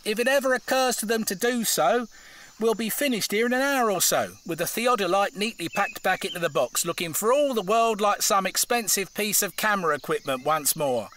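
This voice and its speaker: A man's voice: high-pitched, bright, forceful tone, slight background noise. An actor playing a cynical, scheming British tradesman in an audio drama, blunt, dismissive and conspiratorial. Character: cynical, scheming tradesman with a grudge against the countryside.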